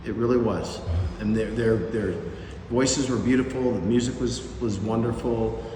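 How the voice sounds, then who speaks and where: A man's voice: fairly low pitch, steady tone, normal speed, dark tone, a little hiss or hum. A man, a mission volunteer, telling a story in a loose, rambling way with many fillers. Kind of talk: casual group recap. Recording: echoey hall from far off.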